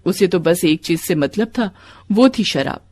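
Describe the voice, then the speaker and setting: A woman's voice: fairly low pitch, animated, a little slow, a little mellow. A woman narrating a scripted devotional story as a storyteller.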